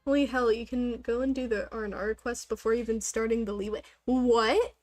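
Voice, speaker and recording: A woman's voice: a little high, fairly even intonation, fast talker, darkish tone, no room sound. A woman chatting casually on a gaming livestream, rambling along with the odd swear word.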